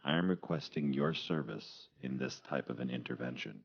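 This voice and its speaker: An extremely low, husky voice on a dull recording, a man acting as a cold official from the future. He is formal and measured in this radio drama, ominous in every phrase. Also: full character voice. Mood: cold, solemn.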